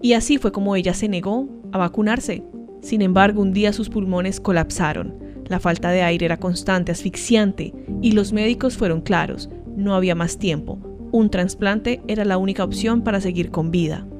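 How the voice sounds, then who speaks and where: A woman's voice: medium pitch, moderate pace. Woman host introducing over music. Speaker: podcast host. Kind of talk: interviewer with scripted intro.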